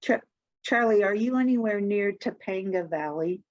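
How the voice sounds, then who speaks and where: A woman's voice: medium pitch, moderate pace, a little mellow, no echo, lo-fi sound. A woman chatting casually as a participant in a spiritual discussion group.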